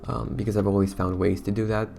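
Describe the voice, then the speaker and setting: Young man with a hoarse, gravelly voice. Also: lowish voice, little pitch movement, fast, warm tone. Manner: chatty, rambling, self-conscious. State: hoarse, losing his voice. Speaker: YouTuber. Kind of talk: casual talk to camera.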